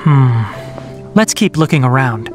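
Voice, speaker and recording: A woman voicing a composed, analytical young investigator in a video game, thoughtful, cautious and measured. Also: rumbling low, animated. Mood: pensive, focused. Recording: music behind the voice.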